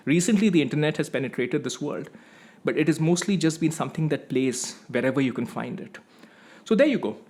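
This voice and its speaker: A man from India, hosting a music channel and explaining his opinion step by step in an emphatic monologue. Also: mid-range voice.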